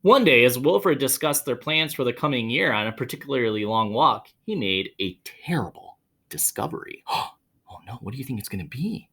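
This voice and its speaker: A man with a very animated, swooping delivery reads a picture book to children. He is dramatic with the story and slips into casual asides as he goes, speaking to the kids directly. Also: mid-range voice, moderate pace. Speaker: children's read-aloud host, teacher or school staff.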